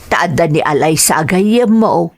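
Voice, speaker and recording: A woman playing an affectionate middle-aged mother with an Ilocano Filipino accent, gently advising in an audio drama. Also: fairly low pitch, animated, unhurried. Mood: tender, concerned.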